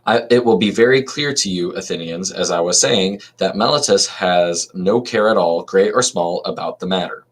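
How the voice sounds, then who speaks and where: A man reading aloud as an old male philosopher defending himself at trial, in an even reading voice with small restarts. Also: sits low, moderate pace, hardly any pauses. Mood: defiant.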